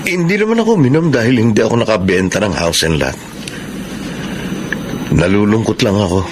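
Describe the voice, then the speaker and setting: A man's voice: fairly low pitch, expressive, brisk, lightly acted. A man acting a wistful, lovelorn young man in a Filipino radio drama, earnest and emotive, with a Filipino accent. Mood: defensive, sad. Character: lovelorn, wistful man pining for a taken woman. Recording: clearly noisy background.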